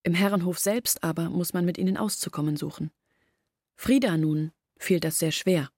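An actress playing an earnest village woman who explains and confesses in a measured literary radio drama monologue. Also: on the low side, darkish tone, dry recording, studio quality, natural voice. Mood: earnest explaining.

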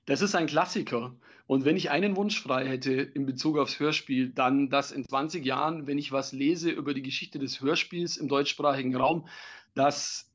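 A man's voice: medium pitch, normal speed. A man speaking as a jury member, giving a critical appraisal on a lo-fi recording. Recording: dull, muffled lo-fi sound.

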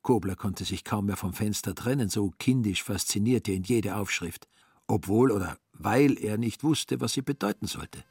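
A man's voice: lowish voice, normal speed, darkish tone, husky, no room sound, studio quality. A man reading scripted literary narration for a radio drama.

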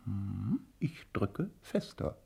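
Husky, fussy professor. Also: male voice, on the low side, very animated, extremely slow, mellow, exaggerated voice. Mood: intrigued. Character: fussy, fascinated male professor examining a patient. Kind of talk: German radio drama acting.

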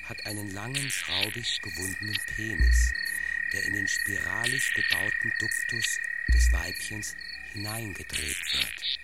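A man's voice: on the low side, expressive, unhurried, low-quality recording. A man reading scripted scientific narration in a factual, lecturing manner, like a documentary text. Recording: voice over loud electronic music. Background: electronic music with insect-like chirping.

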